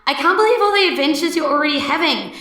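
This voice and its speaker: A woman's voice: high voice, animated, fast, bright, forceful tone, reverberant, far from the mic, voice pushed up. Woman reading as an eager little girl. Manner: eager, breathless letter reading. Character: sweet young girl writing to her granny.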